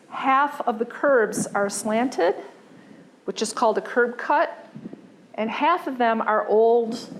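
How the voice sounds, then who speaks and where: A woman giving a conversational design lecture, pausing often with fillers and restarting her sentences. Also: fairly high pitch, a little hiss or hum, rough recording.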